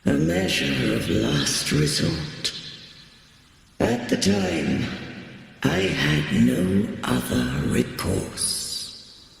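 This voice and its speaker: Solemn ancient machine sovereign, archaic and grave, very slow, cavernous reverb. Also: male voice, a bass voice, wildly swooping pitch, gravelly, full character voice. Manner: formal archaic speech, grave and measured. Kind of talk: game cutscene voice acting. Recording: cavernous long reverb with music.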